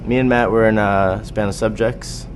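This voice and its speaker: A man's voice: lowish voice, fairly even intonation, normal speed, background noise. A man from New York City chatting easily in a band interview, rambling a bit and laughing often. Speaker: guitarist and guitar maker. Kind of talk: casual interview chat.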